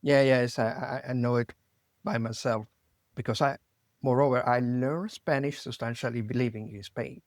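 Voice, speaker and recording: A man from Italy with an Italian accent, hosting an interview in a halting way, searching for words with many fillers and restarts. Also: mid-range voice, fairly even intonation, no room sound, studio quality. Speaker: podcast host.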